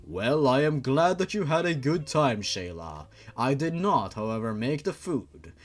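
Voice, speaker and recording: A man voicing a formal, stately supernatural father who speaks in measured, precise sentences. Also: medium pitch, very animated.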